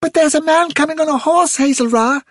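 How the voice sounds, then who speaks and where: Squeaky, very high little boy rabbit. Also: brisk, a bit bright, no echo, clean studio sound. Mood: anxious. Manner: squeaky, eager. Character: small, excitable young male rabbit, earnest and respectful.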